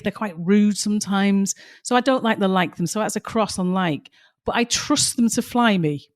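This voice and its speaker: A woman with a slightly low voice talks emphatically as a podcast co-host. She tells her stories with real passion. Her voice sounds a little strained, as if it is starting to go. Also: a little mellow. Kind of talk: casual podcast chat with stories.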